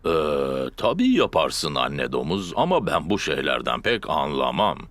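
A man's voice: rumbling low, big pitch swings, measured pace, fairly bright tone, heavily acted. A man voicing a bumbling, good-natured father pig in a children's cartoon dub, with a hesitant, slightly pompous, exaggerated delivery. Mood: hesitant, affable.

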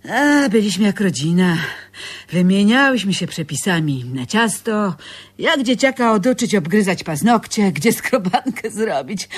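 Slow-talking, weary older seamstress. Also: female voice, medium pitch, breathy edge. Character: nostalgic older seamstress, sharp-tongued, wistful and weary. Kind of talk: Polish radio drama acting.

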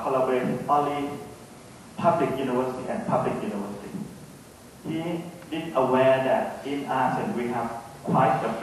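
A middle-aged man with a Thai accent speaking hesitantly and off the cuff as an academic panellist, with many pauses. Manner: hesitant, halting delivery with frequent pauses. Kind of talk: unscripted panel discussion talk. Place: large conference hall, on stage. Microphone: handheld microphone, picked up by a distant camera. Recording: echoey hall from far off, faint rumbling noise.

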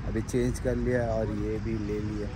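A man's voice: on the low side, little pitch movement, moderate pace. A man talking casually to viewers as a travel vlogger, mixing in English phrases. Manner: informal Hindi mixed with English phrases. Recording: noisy outdoor recording with vehicle sounds.

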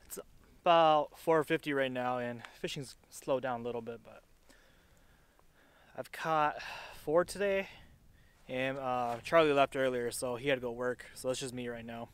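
Laid-back Minnesotan guy filming by a river. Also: medium pitch. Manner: relaxed, chatty, many fillers. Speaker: fishing vlogger. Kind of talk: casual outdoor fishing vlog. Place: outdoors by a river. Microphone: head-mounted action camera.

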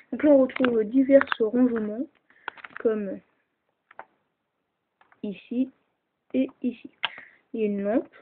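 A woman chatting casually with lots of fillers as she shows off a toy model at home, filmed on a handheld camera held close. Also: fairly high pitch. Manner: chatty, hesitant, many fillers. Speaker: toy builder showing a model. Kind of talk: casual show-and-tell narration. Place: indoors at home. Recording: tinny lo-fi camera audio, hissy.

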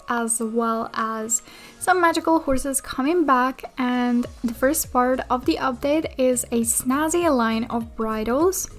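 A woman hosting a gaming video, giving casual commentary on updates and sometimes reading announcements aloud. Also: sits high. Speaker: gaming video creator and host. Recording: voice over background music. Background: background music.

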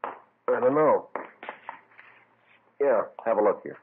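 An actor playing a terse, matter-of-fact American police sergeant with clipped delivery in an old-time radio drama. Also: male voice, medium pitch, slow pace, breathy edge. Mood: businesslike. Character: terse, businesslike male police sergeant who also narrates. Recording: muffled tinny vintage radio.

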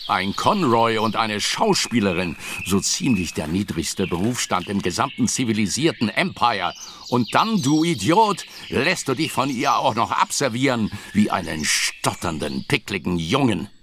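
A man's voice: lowish voice, very animated, measured pace, background noise. An actor playing a cruel, middle-aged aristocratic father in a German audio drama, speaking with scornful command. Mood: contemptuous anger. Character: imperious, cruel aristocratic father, contemptuous and domineering.

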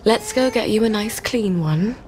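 A woman's voice: medium pitch, lively intonation, moderate pace, noisy background, close to an everyday voice. A woman voicing a kind, flustered, sweetly polite young British mother in a video game, coaxing and muttering to herself. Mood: soothing. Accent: British English.

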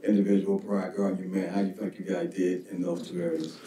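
A man's voice: sits low, flat delivery, fast talker, dark tone. A man asking questions as a reporter at a press conference, rambling a little with fillers. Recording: roomy, distant from the mic, faint noise.

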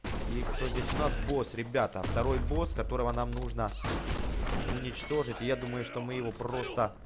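A man hosting a casual, chatty let's play for children, excitable, full of exclamations, repeated words and affectionate diminutives. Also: mid-range voice, moderate pace, very noisy. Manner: uses many affectionate diminutives. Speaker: gaming YouTuber host.

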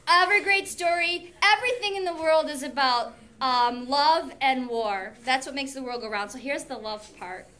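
Bright, high-voiced American woman teaching. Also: moderate pace, few pauses. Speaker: English teacher. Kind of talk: classroom lesson with reading aloud. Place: school classroom with whiteboard. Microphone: camera a few feet away.

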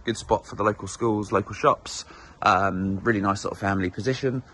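Croaky estate agent, lower-pitched, upbeat and conversational, many fillers. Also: male voice, fairly quick, bright, forceful tone, a little hiss or hum. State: slightly croaky voice. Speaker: estate agent giving a property tour. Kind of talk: casual walk-through property tour. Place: inside a small house, walking room to room.

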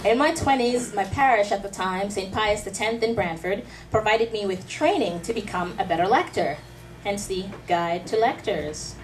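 A woman's voice: medium pitch, normal speed, slight background noise. A woman in her thirties, a spoken word artist and lector, giving a faith talk with poetry in a small pub room, speaking earnestly with rhythmic rhetorical questions and light asides. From Canada. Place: small dim pub room, seated before a live audience. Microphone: handheld mic held close. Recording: distant camera mic in a pub.

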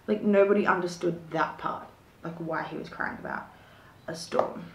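A young woman tells a mystery story at her desk, chatty and rambling, with lots of "like" and "um". Her voice sits a little low and has a gravelly fry. The small room around her sounds echoey. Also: fairly even intonation, moderate pace. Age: young adult. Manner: chatty, rambling, many fillers and likes. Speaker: true crime vlogger. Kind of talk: casual storytelling with reading aloud. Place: small home room with shelves of collectibles. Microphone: fixed camera at desk.

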